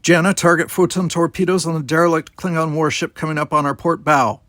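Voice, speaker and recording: A man's voice: fairly high pitch, flat delivery, brisk, fairly bright tone, dry recording, clean studio sound, voice pushed up, natural voice. A man voicing a determined, defiant young cadet commander who barks clipped, urgent orders in an audiobook. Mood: urgent, decisive. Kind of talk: dramatized audiobook dialogue.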